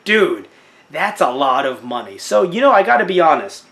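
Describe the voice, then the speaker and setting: Young man, podcast co-host, lively swinging pitch, joking and animated. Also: normal speed. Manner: animated, joking, with mocking put-on quotes. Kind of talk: casual chat about a crowdfunding campaign.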